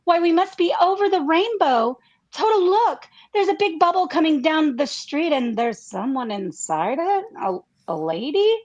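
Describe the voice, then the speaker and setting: A very high, girlish voice as an anxious, wide-eyed farm girl in a radio play. She is earnest and breathless, stumbling and repeating her words. The recording is dull and muffled. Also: very animated, a little mellow. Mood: bewildered. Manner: breathless, halting, repeating phrases. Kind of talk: comedic radio play acting.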